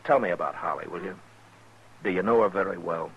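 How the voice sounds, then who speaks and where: An actor playing a steady, stern American frontier marshal in a 1950s western radio drama, plain-spoken and firm. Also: male voice, deep voice, a little fast. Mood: hesitant, earnest. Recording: dull, noisy 1950s radio recording.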